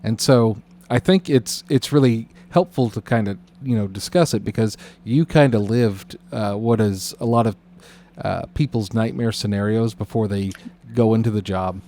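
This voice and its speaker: Low-pitched man, halting podcast host. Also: warm tone, slight background noise. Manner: halting, rambling, roundabout questions. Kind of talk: casual conversational interviewing.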